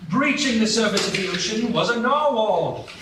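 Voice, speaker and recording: A man narrating a storybook tale in a live radio play, with a gentle storytelling manner. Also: sits high, lively intonation, moderate pace. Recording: roomy echo from far off, light noise.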